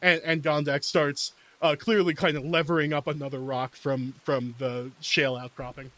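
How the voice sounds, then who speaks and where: A man with a slightly high voice talks casually at a game table. He improvises narration and talks through his rolls as a player. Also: little pitch movement, normal speed, fairly bright tone.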